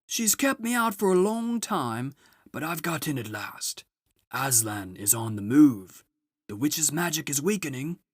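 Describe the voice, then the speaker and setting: A man voicing a big, solemn, kindly old gift-giver in a storybook, with slow, booming, grand proclamations. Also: very animated, no room sound, studio quality. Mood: grand, glad.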